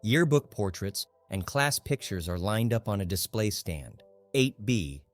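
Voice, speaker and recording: A man's voice: fairly low pitch, sing-song, a little slow, soft background music. A man narrating a literary audiobook in a scripted, dramatic storytelling style.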